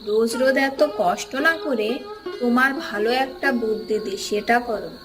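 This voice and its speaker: A woman's voice: a little high, normal speed, over music, close to an everyday voice. A woman playing a village wife in a cartoon moral tale, coaxing and persuasive as she eggs her husband on. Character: village wife, coaxing and eager for more treasure. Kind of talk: Bengali cartoon moral-story voice acting.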